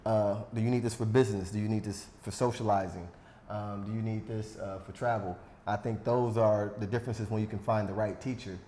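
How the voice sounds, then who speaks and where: Easygoing host in an echoey room. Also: male voice, lowish voice, steady tone, brisk, warm tone, a little hiss or hum. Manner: easygoing, joking, self-deprecating asides. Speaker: podcast host. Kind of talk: casual interview chat.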